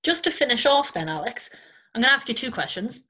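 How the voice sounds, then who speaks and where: A woman's voice: on the high side, big pitch swings, a little fast, sharp tone, rough recording. An Irish woman, a children's performer hosting a podcast, chatting casually. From Ireland.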